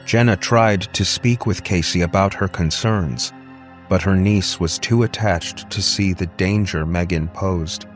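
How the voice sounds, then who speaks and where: A man's voice: deep voice, measured pace, faint music behind. A man reading a scripted dramatic story as an audiobook narrator.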